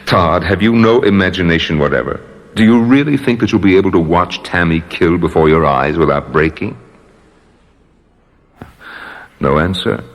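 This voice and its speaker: An actor playing a coldly polite, menacing villain in an old radio drama, speaking in measured, mock-courteous threats. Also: male voice, sits low, natural voice. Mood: mock regret. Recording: hollow long-reverb radio drama recording.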